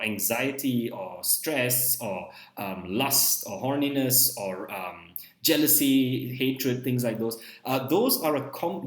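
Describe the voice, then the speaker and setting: Young Malaysian male teacher, native Malay, mid-pitched lecture voice, echoey room. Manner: ends points with a questioning "right?". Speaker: psychology teacher. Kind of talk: recorded lecture over slides.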